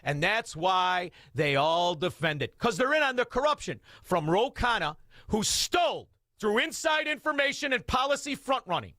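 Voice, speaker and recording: A man, hosting talk radio in a combative, mocking rant with shouted outbursts. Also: high-pitched, no room sound, studio quality. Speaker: radio talk show host.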